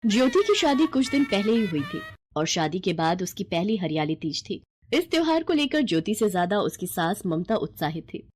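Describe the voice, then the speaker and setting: A woman's voice: mid-range voice, very animated, moderate pace, darkish tone, a little hiss or hum. A woman narrating a scripted bedtime moral story.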